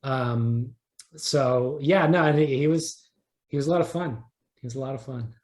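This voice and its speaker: A man's voice: medium pitch, a little mellow. Man in an echoey room, thinking aloud. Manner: halting, repeats words, thinks aloud. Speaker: writer being interviewed. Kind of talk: casual interview talk. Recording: roomy echo.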